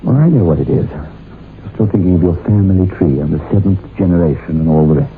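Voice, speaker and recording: Very deep-voiced ardent suitor. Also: male voice, animated, a little fast. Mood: urgent, pleading. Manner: pressing, impatient, pleading. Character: ardent, insistent male suitor, dismissive of old curses. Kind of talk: old-time radio drama acting. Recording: dull vintage radio recording with music.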